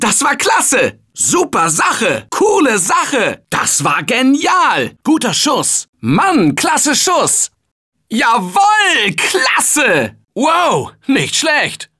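An excitable zombie-game survivor, who calls out to his squad with a lively, swooping delivery. His tone is bright and forceful, and he keeps an unhurried pace even when shouting warnings. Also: very high voice, breathy edge, heavily acted. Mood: cheering. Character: upbeat male office-worker survivor in a zombie game. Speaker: game character. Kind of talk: German-dubbed video game voice lines.

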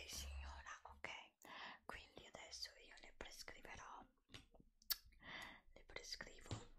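A middle-aged woman in a soothing ASMR roleplay as a doctor, whispering breathily very close to the mic. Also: rumbling low. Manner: soothing, unhurried, softly reassuring. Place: indoors, close-up in front of a dark backdrop. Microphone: desktop condenser mic with ear attachments, very close.

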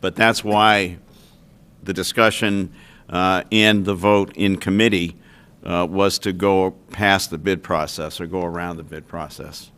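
Deep-voiced older man on a town council. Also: fairly even intonation, a bit bright, clean tone. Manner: measured, explanatory. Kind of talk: council meeting remarks. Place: council chamber.

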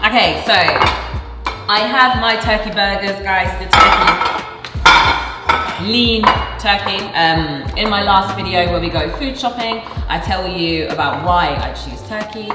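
A woman's voice: medium pitch, a little slow. A young woman casually walking through a recipe in a large kitchen, chatty and playful, recorded from a distance. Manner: chatty, playful, laughs often. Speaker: co-host of a cooking video. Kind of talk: casual cooking demonstration. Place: large indoor kitchen studio. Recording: echoey room with music behind.

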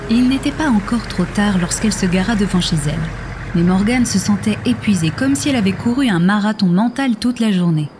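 A woman's voice: medium pitch, animated, a little fast, noisy background. A woman narrator reading scripted fiction in an audio drama. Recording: music under the voice.

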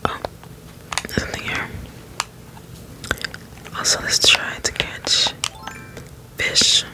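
A woman whispering soft, rambling ASMR commentary over gameplay in an unhurried way. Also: low-quality recording. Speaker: let's play streamer.

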